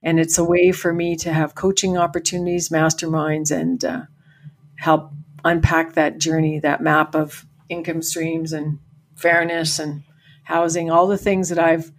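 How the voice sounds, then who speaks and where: An older woman coach from Canada talks in a low voice that keeps an even, level line. She sounds upbeat and encouraging. She is heard over a remote call as a podcast guest. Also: measured pace, a little hiss or hum. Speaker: farm family coach. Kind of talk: podcast interview.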